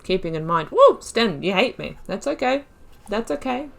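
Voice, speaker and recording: A woman's voice: medium pitch, very animated, normal speed, creaky. A woman giving let's-play commentary, musing aloud in a chatty way and laughing often. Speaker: YouTuber playing a video game.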